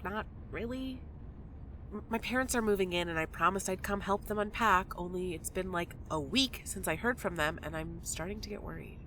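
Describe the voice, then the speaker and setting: A woman's voice: medium pitch, moderate pace, a bit bright, a little hiss or hum, close to an everyday voice, rough recording. A woman in an audio drama playing a blunt, wry young newcomer with casual, dry, naturalistic delivery. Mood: worried, casual.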